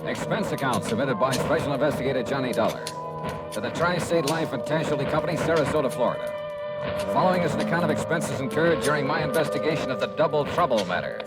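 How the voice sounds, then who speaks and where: Man playing confident private investigator, American accent, lively swinging pitch, wry and punchy, vintage radio drama, loud music. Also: quick pace, lightly acted, low-quality recording. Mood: crisp narration. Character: wry, confident male freelance insurance investigator. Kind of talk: 1950s American radio detective drama acting.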